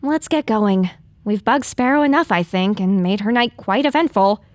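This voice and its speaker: A woman's voice: medium pitch, lively intonation, normal speed, no echo, close to an everyday voice. A woman playing a plucky, assertive young pony in an audio drama. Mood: brisk.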